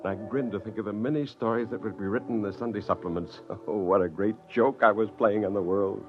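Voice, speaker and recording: An actor playing an excitable scientist father who narrates and acts in an old radio drama. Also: fairly low pitch, brisk, natural voice. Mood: gleeful narrating. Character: excitable, gleeful scientist father narrating his secret creation. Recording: dull lo-fi old radio, music underneath.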